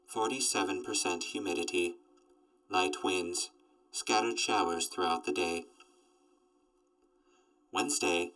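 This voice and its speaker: A man's voice: low voice, fairly bright tone, distant mic, poor audio. A man playing an emotionless robot that delivers formal, stilted forecasts in an audio drama. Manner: stilted, mechanical, formal announcements. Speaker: robot companion reading forecasts. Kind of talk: post-apocalyptic audio drama.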